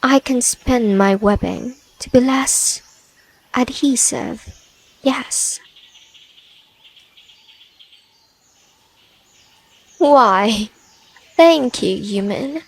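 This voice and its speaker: A woman's voice: fairly high pitch, very slow, husky, no room sound, full character voice. A woman doing a haughty spider-woman. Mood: smug. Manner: dry, scornful, bargaining. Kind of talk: ASMR audio roleplay.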